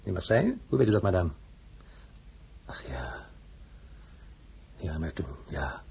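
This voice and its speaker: An actor playing a proud, weary French emperor who swings from murmurs to commanding, threatening outbursts in a dramatic audio play. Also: male voice, lowish voice, normal speed, a little mellow, a little hiss or hum, close to an everyday voice. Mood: weary, wistful. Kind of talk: dramatic radio play acting.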